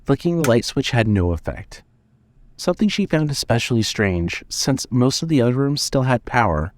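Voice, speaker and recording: A man's voice: mid-range voice, sing-song, normal speed. A man narrating scripted suspense fiction as the storyteller of an audio drama.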